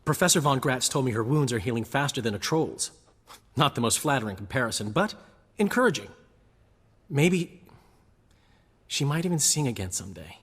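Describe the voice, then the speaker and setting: A flamboyant, hopeful bard, with a mid-pitched, husky voice. He is chatty and expressive. It is game dialogue with long reverb. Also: moderate pace, long pauses. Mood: hopeful, pleased. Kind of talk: video game voice acting.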